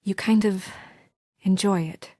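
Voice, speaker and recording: A woman's voice: mid-range voice, fairly even intonation, a little slow, warm tone, dry recording, lightly acted. An actress as an earnest, guarded young woman, dry close recording. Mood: subdued, confiding. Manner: earnest, hesitant in confidences, firm when challenged. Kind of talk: naturalistic video game drama acting.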